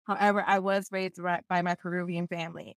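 A woman's voice: on the low side, fairly quick, no room sound. Young woman from Philly, podcast guest, chatty, lots of "like", dry close sound. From Philadelphia, USA. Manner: animated, laughs often, lots of filler "like". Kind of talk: casual podcast interview.

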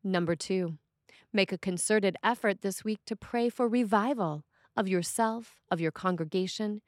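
A woman reads a prepared sermon as a priest would. Her phrasing is deliberate and measured, at an average pitch for a woman. The sound is dry, with almost no room in it. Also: no room sound. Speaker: priest reading a written sermon. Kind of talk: scripted sermon reading.

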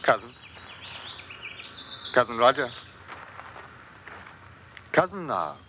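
A scheming, jealous gentleman of old England. He speaks in formal, archaic phrases at a middle pitch, in a radio play recorded with clear background noise. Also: big pitch swings, slow pace, full character voice. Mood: sly, subdued. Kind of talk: old-time radio drama acting.

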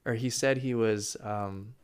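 A man in casual podcast conversation, telling a story with laughs and lively asides. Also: lowish voice, a little slow, clean tone. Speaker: guest speaker.

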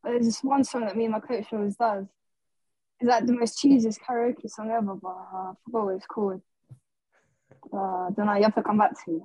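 A woman's voice: mid-range voice, fairly even intonation, a little fast, dark tone, no room sound, poor audio. A woman guest on a video call, answering hesitantly with fillers. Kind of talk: casual chat over video call.

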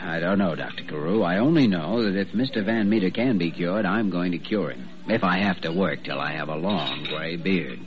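An actor playing an earnest, determined young hospital doctor who reasons thoughtfully aloud in 1940s radio drama style. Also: male voice, sits low, moderate pace, hardly any pauses, a crowd in the background, lightly acted. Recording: dull, hissy old radio recording.

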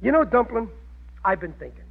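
A man's voice: sits high, very animated, brisk, vocal fry, exaggerated voice. A man playing a bumbling, good-hearted working-class American husband in a 1940s radio sitcom, with slangy, folksy bluster. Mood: weary, fond. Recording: muffled old 1940s radio broadcast.

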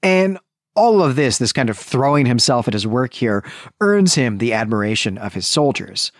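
A man's voice: medium pitch, sing-song, normal speed, dry recording, studio quality. A man hosting a podcast, recounting and discussing a story in a conversational way. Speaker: podcast host. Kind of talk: animated story recap and literary discussion.

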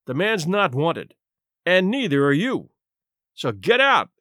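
Man playing police desk sergeant, storybook character, curt, suspicious, dismissive, animated high voice, dry sound. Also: slow, no room sound, full character voice. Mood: curt, scornful. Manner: brusque, frowning, dismissive.

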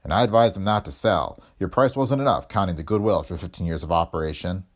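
A cold, threatening businessman in his fifties, as a male narrator puts him on, sharp and mocking. His voice climbs higher and louder than the narration and swings widely. A husky grain runs under it. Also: lively intonation, fast talker. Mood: sharp, angry.

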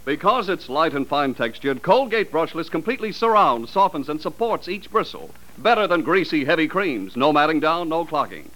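A man delivering a punchy, emphatic 1940s radio advertising pitch. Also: expressive, normal speed, few pauses, a bit bright, poor audio. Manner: punchy, emphatic sales patter. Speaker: radio commercial announcer.

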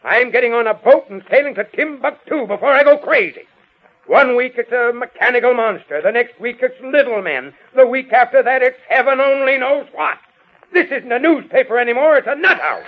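A blustering, short-fused newspaper chief in a vintage radio drama. His voice runs high as he grumbles with heavy sarcasm, on a dull old recording. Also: animated, fairly quick, full character voice. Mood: blustering, fed up. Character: blustering, exasperated male newspaper chief, quick to anger. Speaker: actor. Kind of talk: 1950s radio drama acting.

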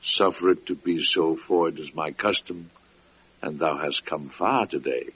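An actor playing a gentle, pious old gatekeeper with solemn, meek archaic speech in an old-time radio drama. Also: male voice, very deep voice, a little slow. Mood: solemn devotion. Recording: muffled, noisy vintage radio recording.

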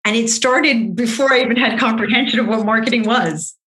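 Chatty middle-aged woman, animated storyteller. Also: on the high side, a little fast, low-quality recording. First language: French. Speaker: marketing consultant guest. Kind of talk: conversational interview.

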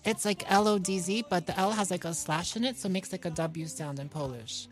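A woman's voice: fairly low pitch, a little fast, soft background music. A woman from New York chatting casually as an interview guest, in a guileless, self-deprecating, simply worded way. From New York, United States. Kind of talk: casual chat.

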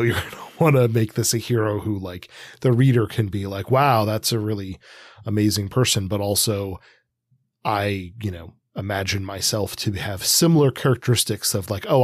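A man with a fairly low, gravelly voice chats casually as a podcast co-host. He has a husky edge and thinks aloud haltingly as he discusses books. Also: no echo, clean studio sound.

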